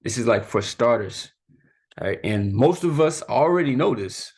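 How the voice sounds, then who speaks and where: A man's voice: medium pitch, lively intonation, measured pace, darkish tone, breathy edge. A man giving a casual spoken lesson as a youth teacher, talking informally and often tagging "right?" and "you know" onto his sentences. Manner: laughs off his own mistakes.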